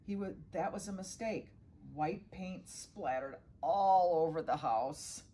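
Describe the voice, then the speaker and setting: A woman's voice: on the low side, slow, dark tone, a little hiss or hum. A middle-aged woman reading a children's storybook aloud as a guest reader, now and then stumbling and correcting herself.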